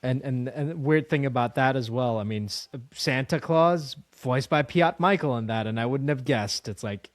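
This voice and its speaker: A man's voice: medium pitch, normal speed, few pauses, dry recording. A man hosting an interview in casual chat, talking like an exasperated fan. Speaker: interview host.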